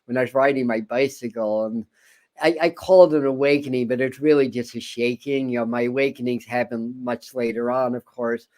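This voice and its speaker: A man's voice: medium pitch, steady tone, brisk, darkish tone. A man hosting an interview channel, talking casually in a rambling way with many stammered repeats and chuckles. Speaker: host.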